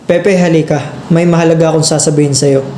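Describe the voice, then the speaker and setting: Man playing a mellow, earnest elder brother. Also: on the high side, fairly even intonation, brisk, lightly acted. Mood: serious. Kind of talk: amateur Filipino radio drama acting. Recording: roomy sound with faint noise.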